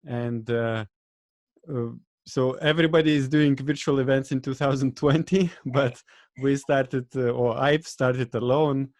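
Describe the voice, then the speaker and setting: A man from Lithuania with a Lithuanian accent, speaking in a video-call interview, halting and full of "uh" fillers, with small grammar slips. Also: mid-range voice, steady tone, a little mellow, no echo. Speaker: guest, event organizer. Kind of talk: casual interview chat.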